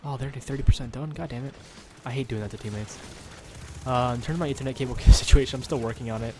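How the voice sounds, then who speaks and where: A man's voice: mid-range voice, very fast, darkish tone, slight background noise. A young man with a nasal, stuffed-up voice giving rambling, casual commentary while he plays a game at home, full of ums and uhs. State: nasal from a cold. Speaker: gaming YouTuber.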